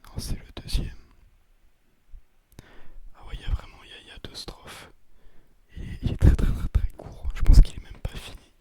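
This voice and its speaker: A young man speaks in a breathy whisper, very near the microphone. He chats casually in ASMR style, rambling and hesitating in a self-deprecating way. Breath sometimes puffs on the mic. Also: moderate pace, pauses often, warm tone. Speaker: ASMR video creator. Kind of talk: ASMR, casual chat to viewers. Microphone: close, sensitive microphone with occasional breath puffs and bumps.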